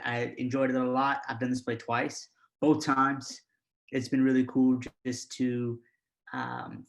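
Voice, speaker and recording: A man's voice: mid-range voice, muffled recording. A man, an actor from the United States, reflecting in an unscripted interview.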